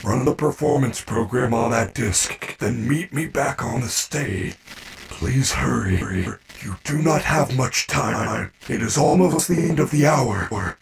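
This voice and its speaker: A man's voice: mid-range voice, takes it slowly, creaky, slight background noise. A man voicing a friendly, protective animatronic bear in a video game, speaking earnestly in formal, precise phrases. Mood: encouraging, concerned. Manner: formal, precise diction without contractions, earnest. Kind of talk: video game character acting.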